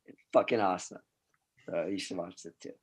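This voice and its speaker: A man's voice: deep voice, steady tone, a little fast, darkish tone, breathy edge. A man chatting casually to an open mic crowd, loose and laughing, saying "like" often. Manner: loose, laughing, says "like" a lot. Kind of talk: casual chat.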